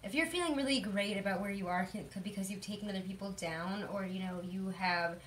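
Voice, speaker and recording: Young woman a bit hoarse, rambly chatty tarot reader, faint hiss behind. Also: medium pitch, fairly quick, fairly bright tone. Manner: chatty, rambling, many fillers. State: hoarse from illness. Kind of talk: casual tarot reading monologue.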